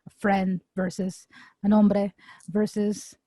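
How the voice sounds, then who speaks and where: A young woman with a Filipino accent co-hosting a podcast, playful, searching for words and slowing down to stress a point. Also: medium pitch, little pitch movement, warm tone, no room sound. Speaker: podcast co-host. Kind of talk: casual chat.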